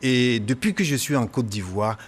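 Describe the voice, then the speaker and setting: Brief: young man from Niger, earnest, halting, studio interview guest. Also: medium pitch, expressive, husky. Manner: hesitant, many fillers and restarts, earnest. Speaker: comedian guest.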